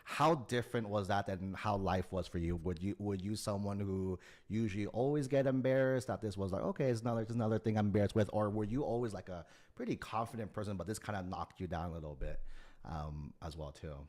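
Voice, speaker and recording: A man with a fairly low voice coaches an interviewee, digging one layer deeper with each question. He talks casually and loosely, often checking in with "right?" as he goes. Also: brisk, darkish tone. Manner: probing, repeats "right?" and "as well too". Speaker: admissions coach.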